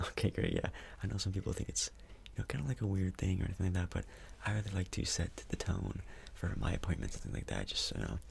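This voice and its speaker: A man speaking softly, close to a whisper, in an ASMR doctor role-play, with a casual, hesitant, reassuring manner, close to the microphone. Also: low voice, very animated, fast talker, gravelly, hardly any pauses, a little hiss or hum.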